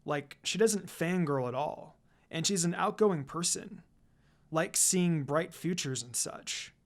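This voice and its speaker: Woman reading as a subdued, lower-pitched schoolboy. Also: takes it slowly. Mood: subdued, puzzled. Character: sullen, cool schoolboy, a man of few words. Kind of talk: amateur fan fiction reading.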